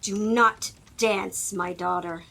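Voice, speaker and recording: A woman's voice: mid-range voice, fairly even intonation, slow pace, gravelly, a bit bright, full character voice. A woman playing a scornful, jealous middle-aged queen in an audio drama, imperious and mocking. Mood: commanding, exasperated. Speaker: actor. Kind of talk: theatrical audio drama acting.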